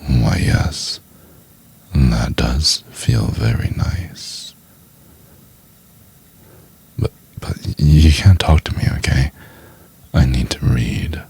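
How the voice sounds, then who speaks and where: A man playing a doting, teasing boyfriend in an intimate ASMR roleplay, murmuring in a husky, halting half-whisper. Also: rumbling low, darkish tone, full character voice. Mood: flustered, resisting. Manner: slow, halting, murmured delivery with pauses. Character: doting, teasing boyfriend trying to study for exam.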